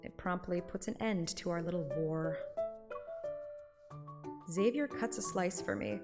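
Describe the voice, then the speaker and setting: A woman's voice: fairly low pitch, unhurried, natural voice. A woman voicing a curious, playful young heroine who narrates a romance game story. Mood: mock suspicious. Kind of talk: reading a game script aloud with dialogue. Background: light game music.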